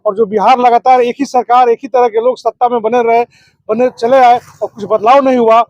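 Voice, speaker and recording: A man's voice: very high-pitched, fairly even intonation, brisk, a little mellow, no echo, muffled recording. A man answering as a political activist, oratorical, building his points in repeated phrases and lists. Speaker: political activist, district committee member.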